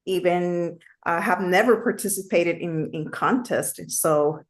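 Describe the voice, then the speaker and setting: A woman's voice: on the low side, a little slow. A woman from Puerto Rico, a native Spanish speaker with a Puerto Rican accent, speaking earnestly as a guest and repeating phrases for emphasis. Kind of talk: casual livestream chat.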